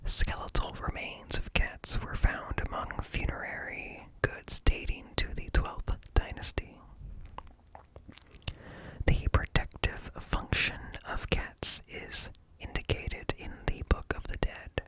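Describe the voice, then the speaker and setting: A man reading informational text in a slow, hushed, breathy whisper for ASMR, close to the microphone. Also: rough recording. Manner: slow, deliberate, hushed, broken into short phrases. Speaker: ASMR host. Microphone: close ear-to-ear microphone.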